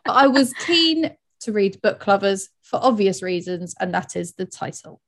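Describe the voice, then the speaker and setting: A woman co-hosting a book podcast, chatting in a lively way, laughing often and summarizing book plots. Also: medium pitch, moderate pace. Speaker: podcast co-host. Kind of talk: casual chat.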